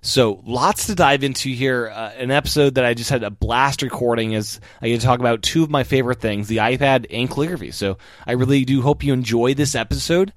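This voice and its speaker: A man's voice: medium pitch, brisk, no echo. An American man talking as a podcast host, in a conversational, slightly wordy way. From United States. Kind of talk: podcast intro and interview chat.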